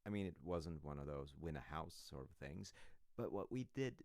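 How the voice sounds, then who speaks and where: Man acting as loving sassy husband, deep voice, dry close sound, intimate audio roleplay, teasing, hesitant, rambling. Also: animated, moderate pace, darkish tone, dry recording. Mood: playful, rambling. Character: loving, sheepish, sassy husband confessing to his sleepy partner.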